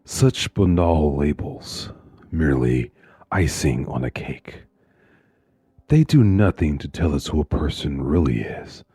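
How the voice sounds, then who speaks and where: An actor playing a calm, philosophizing male serial killer of about forty in a suspense audio drama, gravelly and deliberate. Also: no room sound, studio quality, full character voice. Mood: cold, musing. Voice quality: very deep, gravelly husky. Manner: slow, deliberate, pompous phrasing.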